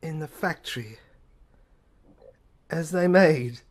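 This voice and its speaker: A woman narrating an audio play in an intimate, hushed way, halting phrase by phrase. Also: sits low.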